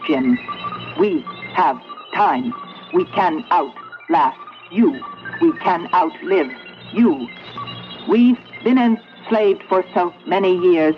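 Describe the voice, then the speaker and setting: An actress playing a sinister, coldly persuasive machine made of metal in a 1970s radio drama, speaking deliberately and commandingly. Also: female voice, lowish voice, highs cut off. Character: sinister, coldly persuasive sentient metal computer demanding obedience.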